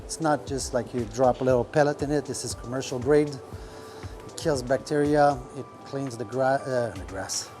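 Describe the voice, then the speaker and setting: Man talking shop, halting. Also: mid-range voice, a little mellow, soft background music. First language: French. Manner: rambling, many fillers, searches for words. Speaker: restaurant owner. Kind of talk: casual podcast talk. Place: restaurant kitchen.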